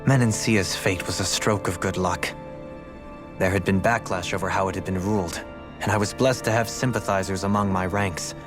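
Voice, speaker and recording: An actor playing a formal, solemn young former lord in a video game, speaking in a measured, courteous way. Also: male voice, deep voice, steady tone, natural voice. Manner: formal, measured, tense when asking favors. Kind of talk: dramatic video game cutscene acting. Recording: music playing behind the voice.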